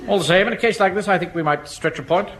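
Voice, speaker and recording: Pompous English Home Guard captain. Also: male voice, high voice, quick pace, a bit bright. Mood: indignant, officious. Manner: pompous, clipped, officious. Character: pompous middle-aged Home Guard captain, bossy and self-important. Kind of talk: British sitcom acting. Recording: old comedy recording with audience.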